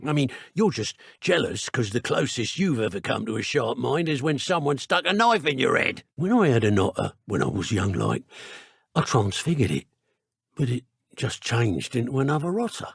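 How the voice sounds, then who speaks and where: A menacing British dark wizard poacher from a video game, taunting and sneering with theatrical flair. His pitch swings widely, with some gravel and a husky edge. The speech is colloquial and full of threat. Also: big pitch swings, normal speed, no echo, studio quality, exaggerated voice. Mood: mocking, indignant. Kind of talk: video game character voice acting.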